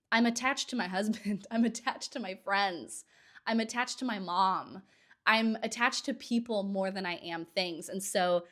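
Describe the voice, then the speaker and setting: A woman's voice: mid-range voice, a bit bright. Chatty woman host recovering from a cold. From Southern California. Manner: chatty, enthusiastic, with short laughs. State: getting over a cold. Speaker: podcast host. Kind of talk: casual solo podcast monologue.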